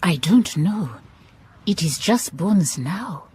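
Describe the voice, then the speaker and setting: Husky, thoughtful Southern African woman detective. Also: on the low side, expressive, slow pace, darkish tone, heavily acted. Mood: curious, probing. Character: thoughtful, compassionate Motswana woman detective, telling her case. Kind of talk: radio drama dialogue and reflective narration.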